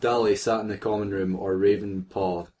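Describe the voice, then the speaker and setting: A man's voice: on the low side, flat delivery, normal speed, warm tone. A man co-hosting a comic read-aloud of fan fiction, joking in asides as he reads.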